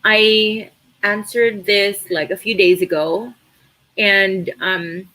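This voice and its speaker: A woman's voice: mid-range voice, slow, low-quality recording. A young Filipina woman with a Filipino accent, native in Tagalog, chatting casually as she answers viewers' questions on a live stream about an online course. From Philippines. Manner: chatty, animated, uses many fillers.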